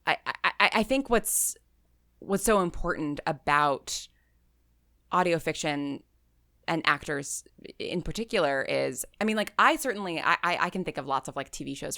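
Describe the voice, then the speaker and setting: A woman speaking as a guest in a casual, reflective podcast interview, effusive and halting, with emphatic repetition. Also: low-pitched, pushed and bright, no room sound, clean studio sound. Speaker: interview guest, audio fiction writer and director.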